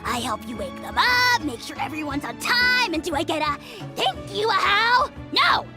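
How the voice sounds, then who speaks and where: Whiny bratty little game critter, high swoopy voice, music behind. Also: female voice, sing-song, normal speed. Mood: indignant. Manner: whiny, theatrical complaining. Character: small bratty self-important companion creature, loudly aggrieved. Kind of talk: video game voice acting.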